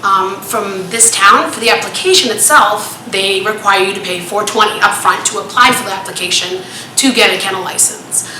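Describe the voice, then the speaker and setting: Woman resident at a public hearing: loud, bright, rambling and insistent, in an echoey meeting hall. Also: medium pitch, normal speed. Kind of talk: public comment at a town meeting. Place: town hall meeting room. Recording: echoey meeting room with light noise.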